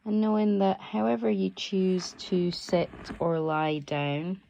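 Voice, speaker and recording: A woman guiding a relaxation meditation as a yoga teacher, soothing and unhurried, offering gentle, permissive instructions. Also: medium pitch, flat delivery, gravelly, poor audio.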